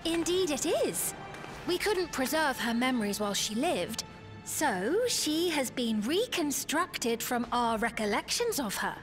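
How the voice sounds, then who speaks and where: High, sweetly imperious false queen. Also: very animated, a little slow, soft background music. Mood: calm explaining. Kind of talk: video game cutscene acting.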